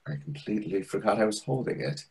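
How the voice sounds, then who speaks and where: Man playing a husky, swooping, theatrical restaurant waiter. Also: lowish voice, lively intonation, quick pace, a little mellow, exaggerated voice. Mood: reproachful, indignant. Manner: theatrical, indignant, arch. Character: dramatic, flustered, camp male restaurant waiter. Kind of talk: readers theatre play reading. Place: indoors, video call.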